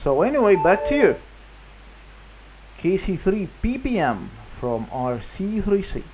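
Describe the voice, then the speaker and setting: A Russian-accented man chats as a radio amateur, slowly and haltingly, feeling for his words. The sound is a little dull, with faint noise around his mid-pitched voice. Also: big pitch swings. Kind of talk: casual ham radio chat. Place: at home at a computer. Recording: muffled, slightly noisy radio link recording.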